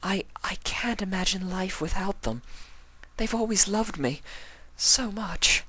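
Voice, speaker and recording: A reader putting on a fretful, refined young pony mare, high-pitched. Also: female voice, measured pace, bright, forceful tone. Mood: apologetic, sad. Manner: formal, proper diction, fretful. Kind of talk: fan fiction audio drama acting.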